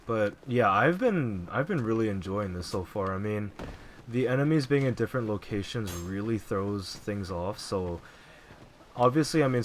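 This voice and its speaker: Low voiced guy quietly mumbling thru a game playthrough, bit of hiss behind him. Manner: relaxed, rambling, frequent fillers. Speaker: let's play gamer. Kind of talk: casual gameplay commentary.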